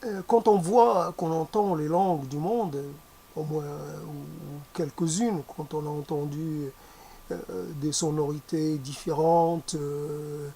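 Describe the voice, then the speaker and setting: A speaker whose first language is not French, musing aloud in a hesitant way, often pausing and restarting to search for the right word. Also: mid-range voice, steady tone, gravelly, warm tone, slightly rough. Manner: hesitant, many fillers and restarts, searching for words. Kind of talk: reflective spoken musing.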